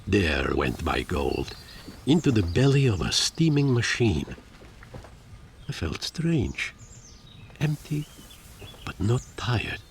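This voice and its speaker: Game lead: pompous, brooding little inventor creature, very low pitch, wildly swooping melodramatic delivery. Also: male voice, sing-song, takes it slowly, gravelly, pauses often, a little hiss or hum, exaggerated voice. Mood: melancholy. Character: Leopold, fluffy wealthy engineer creature, self-pitying, stubborn, theatrical. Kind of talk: dramatic first-person game narration.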